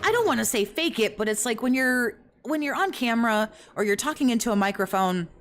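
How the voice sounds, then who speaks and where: A woman giving reaction commentary in a fairly monotone, rambling way, critical and swearing often. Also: on the high side, fairly quick, faint music behind.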